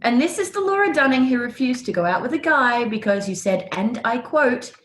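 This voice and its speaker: Casting: blunt, nosy gal pal played by an actress, highish and very animated, teasing, radio drama in an echoey room. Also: female voice, fairly quick. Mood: mocking. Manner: probing, teasing, exclamatory. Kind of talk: radio play acting.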